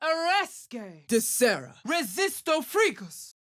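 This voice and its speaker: A high-voiced, commanding sorceress from a fantasy game. She declaims incantations slowly and solemnly, with wide swings in pitch. Every word is emphatic and theatrical. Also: big pitch swings, fairly bright tone, heavily acted. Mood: solemn, intense. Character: commanding female spellcaster intoning Latin-style incantations. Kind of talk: video game spell-casting barks.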